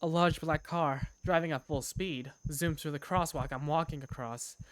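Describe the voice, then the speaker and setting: A woman's voice: low-pitched, moderate pace, close to an everyday voice. A woman playing an affectionate young working big sister, narrating her own story in a visual novel fan dub. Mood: weary, unsettled. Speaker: narrator and protagonist. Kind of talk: first-person visual novel narration and dialogue.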